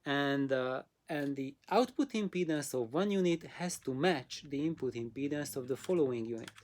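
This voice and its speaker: A host explains a technical matter in a casual way at a medium pitch. The delivery is halting, with frequent pauses, and leans on simple, practical comparisons. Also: lively intonation, darkish tone. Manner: halting, pausing, explains with simple hands-on analogies. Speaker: host answering audio questions.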